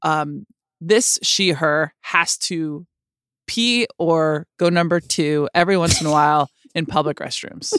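A woman with a low voice telling a personal story in an earnest, halting way, often restarting her sentences. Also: fairly bright tone, smooth, no room sound, studio quality. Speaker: podcast co-host.

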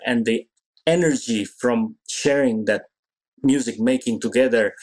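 Thoughtful Indonesian-raised conductor, unhurried. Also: male voice, on the low side, darkish tone, rough recording. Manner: thoughtful, searching for words, many pauses. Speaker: orchestra conductor, guest. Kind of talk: reflective interview answers.

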